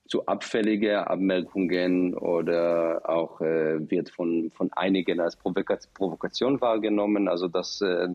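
A man with an Israeli accent, a guest on a radio discussion, speaking haltingly with many fillers and restarts. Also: low-pitched, darkish tone. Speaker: studio guest, professor.

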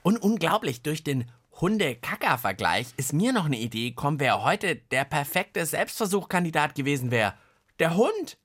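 A man's voice: a little high, big pitch swings, normal speed, a bit bright, slightly rough. A man hosting a children's science podcast in casual, playful chat.

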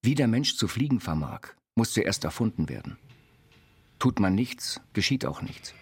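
An actor playing an earnest, visionary self-taught inventor, reciting philosophical writings in a literary radio play. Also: male voice, fairly low pitch, very animated, normal speed, breathy edge, heavily acted. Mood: earnest, instructive. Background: music.

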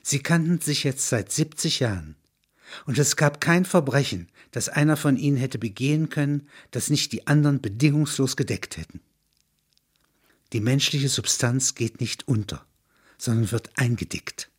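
An older man with a husky voice, speaking reflectively with frequent questioning tags. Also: medium pitch, moderate pace. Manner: discursive, punctuated with questioning tags. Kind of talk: reading prose and reflective conversation.

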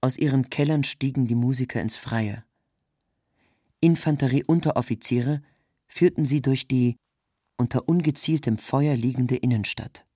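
A man's voice: mid-range voice, normal speed, warm tone, slightly rough, no room sound, studio quality. A man reads scripted literary narration in with a sober, documentary delivery. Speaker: narrator, reader of a literary text.

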